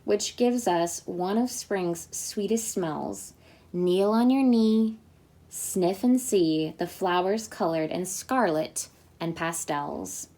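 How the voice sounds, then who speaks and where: A woman's voice: fairly low pitch, a little mellow. A young woman from Indiana reading a children's alphabet book aloud, with cheerful chatty asides to her young listeners.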